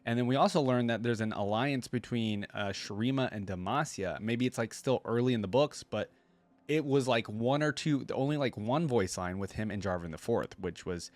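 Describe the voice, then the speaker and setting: A man's voice: mid-range voice, normal speed, no room sound. Casual male reactor, close dry sound. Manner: informal, musing, speculative. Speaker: reaction video host. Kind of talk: casual chat, reacting to game lore.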